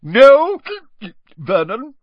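A man voicing a loud, drunk, bullying middle-aged woman who sneers with contempt. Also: very high-pitched, big pitch swings, very slow, no room sound, lo-fi sound, voice pushed up, full character voice. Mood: furious.

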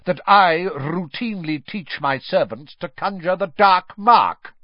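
A man's voice: fairly high pitch, big pitch swings, normal speed, no room sound, dull audio, voice pushed up. A man voicing a cold, stern senior official who speaks in a clipped, icy, barking way. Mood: cold anger.

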